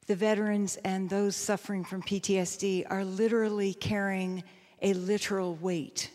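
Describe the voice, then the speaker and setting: A woman pastor giving reflective, sermon-like panel remarks, measured and emphatic, often repeating phrases. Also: medium pitch, a little mellow. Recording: echoey hall with long reverb.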